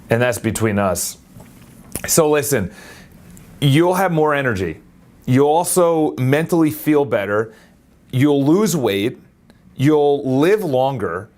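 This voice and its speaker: Energetic young man rambling to his phone. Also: medium pitch. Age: 20s. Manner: energetic, rambling, self-correcting. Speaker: vlogger. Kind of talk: casual talk to camera. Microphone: phone camera.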